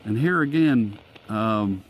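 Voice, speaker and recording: Low-voiced man giving a casual demo. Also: animated, slow, low-quality recording. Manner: folksy, informal, thinking aloud. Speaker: radio reviewer and host. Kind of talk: casual hands-on gadget demonstration. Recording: clear static and radio noise behind.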